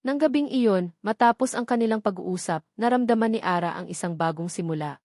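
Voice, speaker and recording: A woman's voice: mid-range voice, a little fast, a little mellow, dry recording, studio quality. A woman with a Filipino accent reading a scripted romance story as its narrator.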